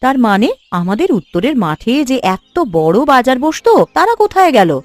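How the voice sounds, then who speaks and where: Casting: woman as practical, outspoken cartoon housewife, lively swings in a highish voice. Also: moderate pace, no room sound. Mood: exasperated. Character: caring, outspoken village housewife, practical and bossy. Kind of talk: cartoon voice acting.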